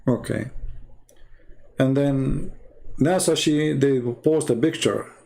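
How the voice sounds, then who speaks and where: Gravelly man, rambling and scornful. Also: mid-range voice, takes it slowly, warm tone. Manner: rambling, scornful, repeats himself. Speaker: online commentator. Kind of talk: casual monologue.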